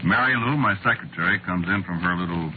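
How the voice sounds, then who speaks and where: A man's voice: sits low, brisk, lightly acted. An American man acting as a world-weary, sardonic Hollywood screenwriter, narrating conversationally in a 1940s radio drama with wry delivery. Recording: noisy, muffled old radio recording.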